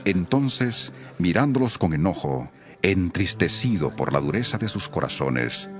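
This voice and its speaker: Deep-voiced expressive male scripture narrator. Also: unhurried. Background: background music.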